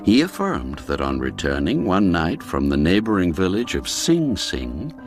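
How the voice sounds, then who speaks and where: A man narrating a classic ghost tale in a theatrical, expressive storytelling manner, dwelling on the darker words. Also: low-pitched, a little slow, over music.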